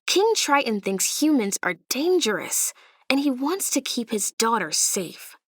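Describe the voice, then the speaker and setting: A woman reads a children's story aloud with a fairly high voice and lively, swooping intonation. She takes her time, placing dramatic emphasis as a storyteller would for young listeners. The sound is close and dry. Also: a little slow, breathy edge, no echo. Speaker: storybook narrator.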